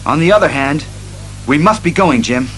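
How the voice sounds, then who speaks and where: An actor playing a serious, commanding masked detective hero, earnest and deliberate, in an audio drama. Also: male voice, fairly high pitch, animated, fairly bright tone, soft background music, poor audio. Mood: grave.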